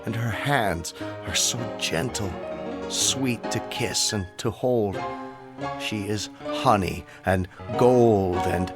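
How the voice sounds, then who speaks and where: Casting: urbane playwright, dry and polished, lowish voice, radio drama over clear music. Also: male voice, slow. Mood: ardent. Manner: suave, dry, formal phrasing. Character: urbane, aloof bachelor playwright, secretly romantic.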